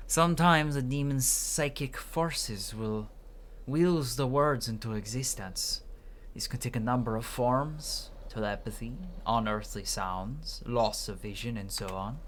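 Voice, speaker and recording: A mid-pitched voice reads video game dialogue aloud as a narrator voicing the characters. The delivery is halting, with stumbles and restarts, and there is some noise behind it.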